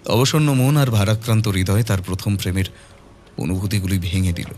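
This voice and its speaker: A man's voice: lowish voice, a little fast, a little mellow, slight background noise. A man narrating a scripted ghost story in a dramatic audio-story style.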